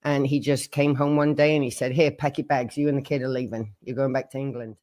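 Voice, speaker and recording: A man's voice: medium pitch, little pitch movement, brisk, darkish tone. A man in his sixties giving plain, frank personal testimony about his childhood. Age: 60s. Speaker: former member telling his story.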